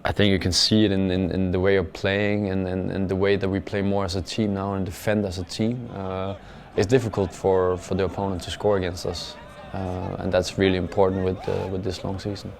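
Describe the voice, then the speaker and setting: A young man with a low voice answers interview questions in careful English, his pitch staying level with little rise or fall. He stumbles over repeated words and fills gaps with "uh", and his sentences fade out quietly at the ends. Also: flat delivery, gravelly, slight background noise. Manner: halting, repeats words often with many "uh" fillers; plain and measured, trails off softly at the ends of phrases. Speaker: football player. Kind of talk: post-match interview answers.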